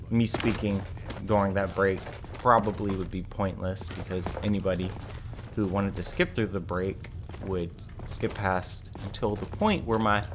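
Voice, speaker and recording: A man with a slightly raspy voice giving casual live game commentary as a streamer, rambling and correcting himself as he thinks aloud. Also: fairly low pitch, noisy background.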